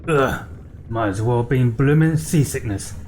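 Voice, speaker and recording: A man's voice: mid-range voice, moderate pace, darkish tone, slight background noise, natural voice. A man voicing a chatty male peddler on a ship in a game, talking in a folksy, rambling way. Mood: friendly. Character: chatty male merchant sailor passenger.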